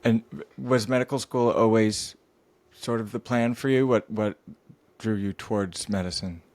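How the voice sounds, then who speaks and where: A man's voice: fairly low pitch, fairly even intonation, unhurried, darkish tone, no room sound. A man interviewing a guest, asking questions hesitantly and rephrasing them with fillers.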